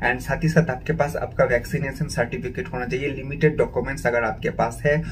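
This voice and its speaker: A man's voice: mid-range voice, steady tone, a little fast, slight background noise. A young man from India hosting a career vlog, speaking directly and upbeat to viewers while mixing in English phrases, in a small indoor room. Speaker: career channel host. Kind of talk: informational vlog to camera.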